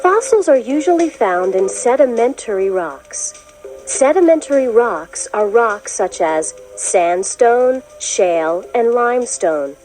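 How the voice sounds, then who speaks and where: Woman, children's read-along narration, slow pace, varied expressive intonation, measured explanatory delivery, music behind. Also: a little high, low-quality recording. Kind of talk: scripted storybook narration.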